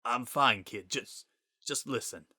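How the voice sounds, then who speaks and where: A man acting Sans, emotionally raw wisecracker, bright voice. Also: medium pitch, slow, husky, no room sound, studio quality, full character voice. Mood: weary, subdued. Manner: slangy, informal, emotionally raw. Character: Sans, a wisecracking man pleading desperately, worn down by grief.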